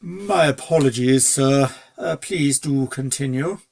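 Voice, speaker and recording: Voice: mid-range voice, slow pace, vocal fry, darkish tone. Storyteller doing a servile butler pony guy, super formal, fussy n hesitant, kinda cringing. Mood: sheepish. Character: Silver Cloud, fussy, servile male pegasus pony butler. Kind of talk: storybook character dialogue.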